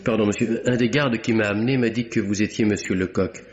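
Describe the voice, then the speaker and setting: A man's voice: on the low side, steady tone, a little fast, soft background music, close to an everyday voice. Earnest accused cashier played by a male actor. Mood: earnest, pleading. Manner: polite, earnest, formal. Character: earnest accused male bank cashier, polite, protesting innocence. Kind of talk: classic French radio drama acting. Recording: dull, lo-fi old radio recording.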